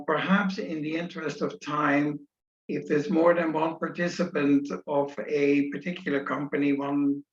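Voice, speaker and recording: A man facilitating an online meeting, speaking informally with polite, hesitant phrasing. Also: on the high side. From Netherlands. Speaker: session facilitator. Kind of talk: unscripted introduction at an online meeting. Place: remote video meeting. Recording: echoey, dull online meeting audio.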